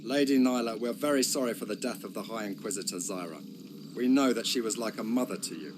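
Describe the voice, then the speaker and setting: A man's voice: on the low side, fast, noisy background, lightly acted. A man playing a courteous, placating old father in a fantasy game cutscene. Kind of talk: scripted video game cutscene acting.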